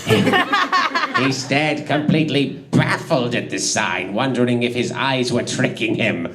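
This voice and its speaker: A man's voice: mid-range voice, a little slow, very noisy. A man narrating comic fan fiction in a theatrical, giggly way, prone to breaking up and tossing in asides.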